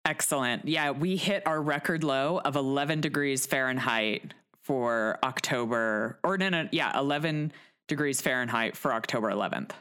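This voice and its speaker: A woman's voice: very deep voice, moderate pace, fairly bright tone, smooth. An American woman, around her thirties or forties, chatting casually as a podcast co-host. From United States. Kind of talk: casual chat.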